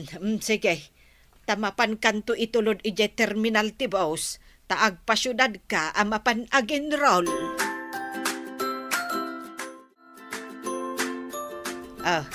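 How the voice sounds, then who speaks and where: Suspicious, chiding Filipino mother voiced by a woman for radio drama, medium pitch, light music underneath. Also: measured pace, a bit bright, close to an everyday voice. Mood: practical. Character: protective, suspicious mother of a college-bound daughter.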